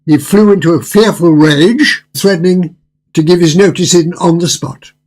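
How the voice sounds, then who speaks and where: Radio drama restaurateur, played by an older man: husky, higher-set male voice, unctuous, courtly and quietly controlling. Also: moderate pace. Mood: smooth, courtly. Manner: formal, courtly, theatrical.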